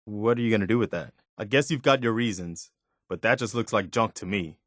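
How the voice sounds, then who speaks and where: An actor playing an earnest, loyal American militia companion in a video game, speaking in an easygoing, plainspoken way. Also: male voice, fairly low pitch, fairly quick, fairly bright tone, no room sound, studio quality, lightly acted. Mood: friendly. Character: earnest, principled militia companion, adult man, loyal and caring.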